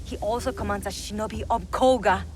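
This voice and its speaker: An actress playing a composed, careful female advisor in a video game, measured and informative. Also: mid-range voice, a little mellow, a little hiss or hum, natural voice. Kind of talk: video game cutscene acting. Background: music.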